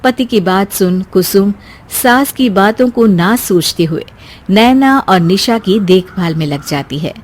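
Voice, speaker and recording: A woman's voice: medium pitch, lively intonation, unhurried. A woman narrating a scripted family story as a storyteller.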